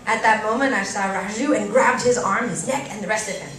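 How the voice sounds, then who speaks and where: A woman reading a first-person story aloud at a live event, expressively and theatrically, with deliberate pauses and varied emphasis. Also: medium pitch, a bit bright. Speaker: reader performing a short story at a live event. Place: live reading in a cafe venue. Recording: echoey room, distant mic, faint noise.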